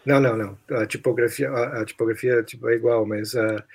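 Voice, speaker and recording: A man's voice: mid-range voice, steady tone, brisk, creaky, breathy edge. A man with a Brazilian accent talking casually and reflectively on a podcast, sometimes hesitating as he searches for words. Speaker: podcast host. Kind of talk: casual chat about literature.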